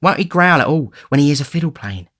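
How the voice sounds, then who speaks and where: A man voicing a cocky, boastful boy pickpocket who talks in slang, raised high and loud above his narrating voice. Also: expressive, fast.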